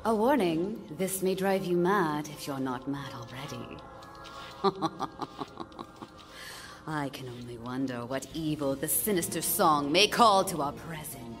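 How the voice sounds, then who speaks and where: Low, reverberant gothic DJ. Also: female voice, a bit bright. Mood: ominous. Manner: theatrical, flowery, drawn-out. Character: ominous, morbid gothic female DJ savouring madness. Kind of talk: scripted video game character dialogue. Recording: long hall reverb, music behind.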